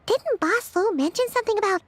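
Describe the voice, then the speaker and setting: A woman's voice: very high-pitched, lively intonation, a little fast, dry recording. A woman voicing a small, chatty, childlike female fairy companion in a video game, with an exclaiming manner. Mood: curious. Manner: exclaiming, refers to self in third person. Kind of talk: animated video game voice acting.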